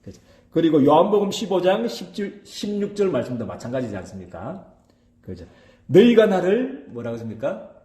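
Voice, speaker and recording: A man's voice: a little high, big pitch swings, moderate pace, mellow, roomy sound. A male pastor delivering a sermon in a regional dialect. He speaks emphatically, checks in with his congregation by asking "right?", and fills the gaps between phrases with hesitations. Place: church.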